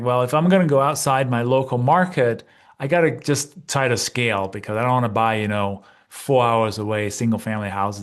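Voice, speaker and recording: Man, fifties, from Denmark, interview guest, relaxed, conversational. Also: mid-range voice. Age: 50s. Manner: relaxed, chatty, frequent "you know" and "right?". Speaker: guest, real estate investor and coach.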